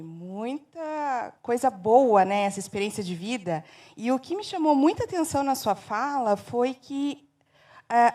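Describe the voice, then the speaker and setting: A woman's voice: fairly high pitch, warm tone. A woman co-hosting an online panel who reflects on the guest's points. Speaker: co-host, sales manager. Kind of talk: panel discussion.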